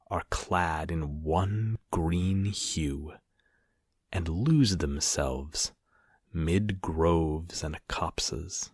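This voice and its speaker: A man with a husky, breathy voice gives a solemn poetry reading in measured short phrases with pauses between them. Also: low voice, no echo, studio quality. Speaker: poetry reader.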